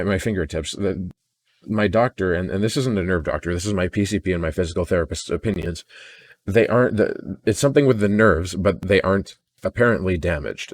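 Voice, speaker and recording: A man recording an unscripted personal audio log in a weary, downcast, introspective way, halting and often repeating words as he restarts sentences. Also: low voice. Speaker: audio diarist.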